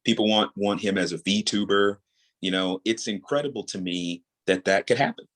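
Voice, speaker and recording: Deep voiced guy on a podcast talking about his creative work, earnest, kinda halting, thinking as he goes. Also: fairly bright tone. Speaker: podcast guest, audio drama creator. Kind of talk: casual interview conversation.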